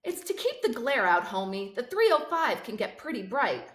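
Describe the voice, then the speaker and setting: A woman's voice: a little high, very animated, normal speed, a bit bright, voice pushed up. A cocky teenage boy played by a woman reader from a storybook. He is showy and slangy, posing as a nonchalant rapper, heard in an echoey room. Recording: roomy, echoing sound.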